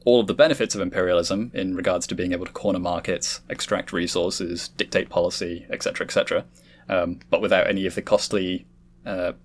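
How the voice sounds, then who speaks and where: A man's voice: low voice, steady tone, fast, a little hiss or hum. A man hosting a casual podcast discussion, talking in a wordy, explanatory way. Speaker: host leading a discussion.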